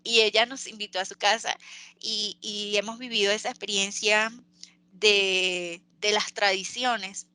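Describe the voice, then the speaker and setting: A Venezuelan woman speaking earnestly as an interview guest, pausing and restarting often. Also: mid-range voice, level tone, sharp tone, smooth, no room sound. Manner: earnest, halting with many restarts and fillers. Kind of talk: interview over a call.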